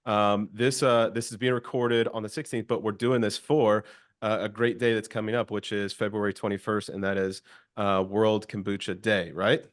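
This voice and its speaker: A man's voice: lowish voice, little pitch movement, normal speed. A man hosting a casual interview, speaking in an upbeat, informal way with frequent repeated words. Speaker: interview host.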